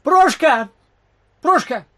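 An actor playing an old, miserly landowner in an audio play, muttering and pleading, repeating himself in a husky, wheezy voice. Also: male voice, very high-pitched, expressive, very slow, sharp tone, no echo, exaggerated voice. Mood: bossy, muttering. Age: older. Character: shrivelled old miserly landowner, wheedling and fussy.

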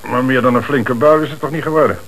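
An actor playing a calm, businesslike police inspector in a radio play, with a Netherlands Dutch accent. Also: male voice, medium pitch, fast, close to an everyday voice. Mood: brisk, inquiring. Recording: hissy old radio drama recording.

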